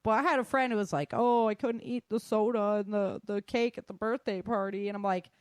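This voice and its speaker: A woman's voice: mid-range voice, steady tone, fairly quick, a little mellow, dry recording. A middle-aged woman giving an informal talk in a candid, colloquial way with joking asides.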